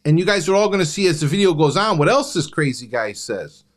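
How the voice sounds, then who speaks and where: A man riffs through a live review, mocking and exasperated. His pitch swings widely as he reacts. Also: very animated, quick pace. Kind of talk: live commentary.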